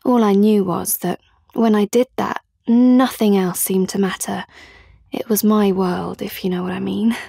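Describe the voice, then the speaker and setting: A woman's voice: medium pitch, fairly even intonation, measured pace, gravelly, warm tone, breathy edge, dry recording. Hesitant young British woman, dry sound. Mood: reflective. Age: young adult. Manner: hesitant, reflective. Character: frustrated, introverted young music lover. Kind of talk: audio play monologue.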